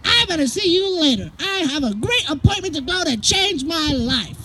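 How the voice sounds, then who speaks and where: An extremely high, whiny puppet creature, voiced by a woman, wallows in self-pity. The delivery is melodramatic and swoops up and down, stretching words out. It was recorded live outdoors with clear audience noise around it. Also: very animated, a crowd in the background. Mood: defiant, resolved. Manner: wailing, melodramatic, drawn-out words. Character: whiny, self-pitying ugly brown male puppet creature. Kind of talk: live puppet show dialogue. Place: outdoors on a lawn, behind puppet stage.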